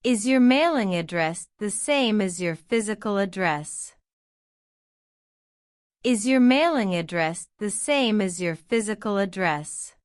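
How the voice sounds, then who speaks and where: A woman reading interview practice questions and answers aloud, saying each line twice in a slow, deliberate drill. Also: medium pitch, expressive, clean tone, dry recording, studio quality. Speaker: citizenship test practice narrator.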